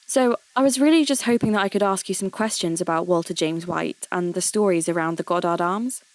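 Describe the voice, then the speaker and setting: A woman playing a polite, curious radio host who investigates mysteries for a podcast, speaking in a measured, questioning way. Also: on the low side, no echo, lightly acted, rough recording. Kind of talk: scripted narration and phone interview.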